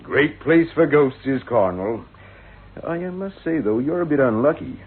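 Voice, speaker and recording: An actor playing a folksy, evasive village estate agent in a radio drama, chatty and hesitant. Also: male voice, medium pitch, expressive, unhurried, slightly rough. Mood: defensive, chatty. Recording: tinny lo-fi old radio.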